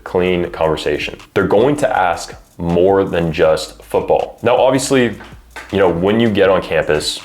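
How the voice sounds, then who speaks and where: A man giving conversational recruiting advice as a coach, in an informal way peppered with filler phrases like "you know". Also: deep voice. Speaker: college football recruiting coach and adviser. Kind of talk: conversational advice, explaining to parents and athletes.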